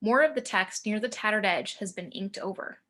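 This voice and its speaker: Bright-voiced woman narrating screenplay action. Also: mid-range voice, normal speed. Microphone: home video call.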